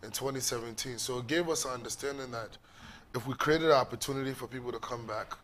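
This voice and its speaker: Measured man explaining, with background noise. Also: mid-range voice, a bit bright. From Ghana and New York. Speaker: festival executive, interview guest. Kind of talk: explanatory interview answers.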